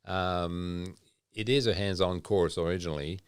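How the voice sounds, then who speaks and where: Man, French accent, middle-aged, smooth resonant voice, dry sound, hesitant chatty manner. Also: deep voice, steady tone, measured pace, no room sound. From France. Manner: hesitant, many fillers and restarts. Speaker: culinary instructor. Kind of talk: casual interview chat.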